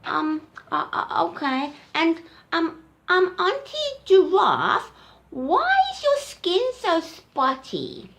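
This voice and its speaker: A woman's voice: very high voice, huge pitch swings, takes it slowly, fairly bright tone, voice pushed up, full character voice. A woman voicing a curious, timid little boy elephant who halts and hesitates with ums, in a storybook puppet show.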